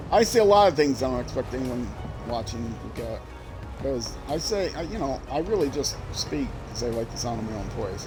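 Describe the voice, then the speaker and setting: An older man with a mild Minnesota accent chats his way through a game stream. His voice sits in an ordinary middle pitch, loose and self-deprecating, drifting between asides. Soft game music plays faintly behind him. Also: gravelly, low-quality recording. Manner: rambling, self-deprecating, chuckling to himself. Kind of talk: casual gameplay commentary.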